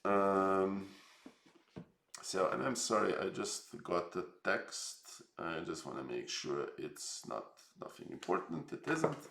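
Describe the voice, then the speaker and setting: Man from serbia, low voice, gravelly, rambling, echoey room. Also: very animated, slow, fairly bright tone. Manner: rambling, hesitant, filler-heavy, jokey. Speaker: streamer host. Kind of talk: casual live stream chat.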